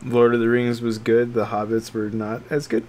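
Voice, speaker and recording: A man with a mid-pitched voice co-hosts a casual podcast. He talks like an excitable fan and swears freely. There is some faint noise and room ambience behind him. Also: moderate pace. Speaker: podcast co-host.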